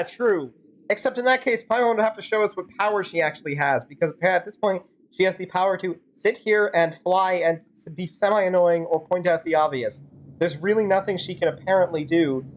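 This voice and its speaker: A woman streamer improvising rambling, sarcastic commentary and role-play while playing a game. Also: on the low side. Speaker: streamer fan-dubbing a game.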